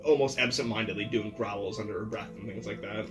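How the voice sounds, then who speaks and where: A man's voice: lowish voice, steady tone, a little fast. Man at a game table, slightly distant. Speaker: player in a tabletop roleplaying game. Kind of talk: casual chat, improvised character storytelling. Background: ambient music.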